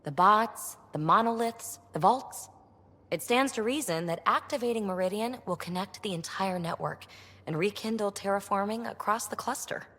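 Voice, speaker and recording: An actress playing a daring, caring scientist-explorer in a video game, speaking in an informal, earnest, expressive way. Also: female voice, medium pitch, normal speed, in a large hall, lightly acted. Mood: earnest, keen. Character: daring, caring woman scientist-explorer, excitable about ancient technology.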